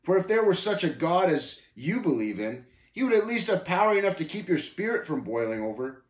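A male storyteller puts on an arrogant, contemptuous scoffer from an old-fashioned allegory. He gloats and snaps in archaic phrases, his pitch swinging widely in a roomy, echoing space. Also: big pitch swings, a little fast. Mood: victorious, forceful. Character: haughty sneering scoffer named Shame, taunting pilgrims.

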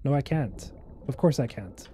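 A man's voice: mid-range voice, lively intonation, moderate pace, warm tone, breathy edge. A man in his thirties from Sweden, chatting casually and joking with his viewers as he plays, on a headset mic in a small room. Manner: joking, rambling, chats with viewers. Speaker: streamer. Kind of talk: casual gameplay chat.

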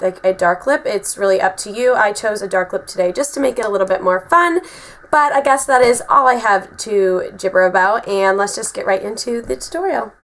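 A young woman in her twenties, raspy from a cold, chatting through a makeup tutorial in a bedroom, full of ums and likes. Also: mid-range voice. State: getting over a cold. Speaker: beauty vlogger. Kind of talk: casual makeup tutorial talk. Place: bedroom, indoors.

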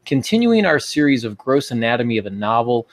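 A man's voice: mid-range voice, big pitch swings, brisk, dry recording. Dry-sounding man hosting a writing podcast. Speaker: podcast host and writing teacher. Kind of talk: conversational podcast talk on writing craft. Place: small home office, indoors.

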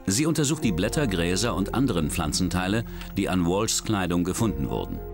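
Deep-voiced man narrating crime story. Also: big pitch swings. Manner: measured, dramatic storytelling. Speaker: documentary narrator. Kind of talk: scripted narration. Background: music.

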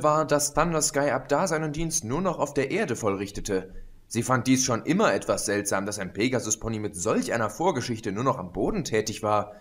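Casting: man as steady audiobook narrator, medium pitch, even delivery. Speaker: audiobook reader. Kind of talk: scripted narration.

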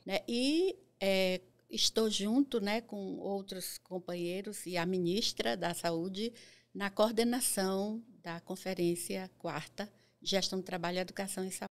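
Older Brazilian woman, mid-pitched interview guest. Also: unhurried. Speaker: podcast guest, nurse and health council member. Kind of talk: conversational interview answers. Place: small podcast studio. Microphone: studio microphone on boom arm.

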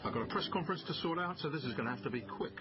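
A man's voice: a little high, expressive, racing, lightly acted. A man playing a busy, evasive senior British detective in a naturalistic radio drama. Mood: tense, exasperated. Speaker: actor in radio crime drama. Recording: slightly muffled broadcast, faint noise.

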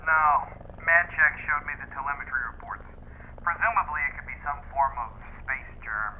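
A man's voice: medium pitch, normal speed, a little hiss or hum. Man acting cool-headed scientist over tinny radio. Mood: dry, matter-of-fact. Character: commanding, cool-headed male scientist leading a field mission. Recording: tinny radio sound with music.

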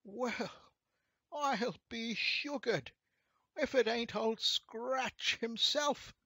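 A man's voice: extremely high pitch, drawn-out words, sharp tone, husky, no room sound, voice pushed up, full character voice. A man voicing a shabby, whiny, indignant pickpocket in a storybook tale, talking in thick old street-thief slang. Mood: startled. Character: shabby, mean-looking male rascal pickpocket, whiny and indignant.

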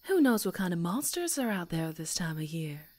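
A woman's voice: fairly low pitch, big pitch swings, moderate pace, darkish tone. A woman playing a sweet, jittery, chatty young woman in an ASMR roleplay, with a girlish manner. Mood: friendly, chatty.

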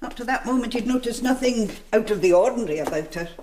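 An actress playing a guarded, proper clinic manager in a radio drama, formal and firm, with a British accent. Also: female voice, on the high side, expressive, quick pace, a little mellow, a little hiss or hum, natural voice.

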